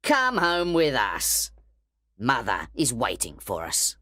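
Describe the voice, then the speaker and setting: A man voicing a rough, menacing male housebreaker who speaks slowly and threateningly. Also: mid-range voice, huge pitch swings, pushed and bright, no room sound, studio quality, full character voice. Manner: slow, threatening.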